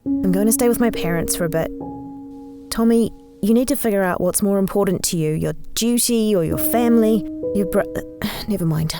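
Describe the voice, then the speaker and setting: A woman's voice: medium pitch, normal speed, lightly acted. A woman playing a hero's hurt, exasperated wife in an audio drama, with music behind. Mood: hurt, fed up.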